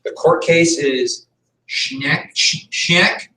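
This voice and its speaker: A man's voice: on the high side, very slow, bright, forceful tone. A male history teacher giving a conversational classroom lecture, repeating key points for emphasis. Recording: echoey room, mic far off.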